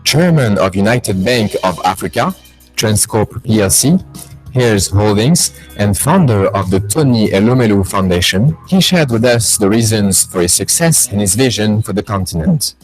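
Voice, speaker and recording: A man with a lowish voice delivers scripted documentary narration. His delivery is even and polished. Faint music plays beneath him. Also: normal speed, low-quality recording.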